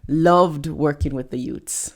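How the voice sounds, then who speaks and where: A middle-aged woman from Jamaica with a thick Jamaican accent, a pastor answering interview questions in a measured way with frequent pauses. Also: low-pitched. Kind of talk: podcast interview guest.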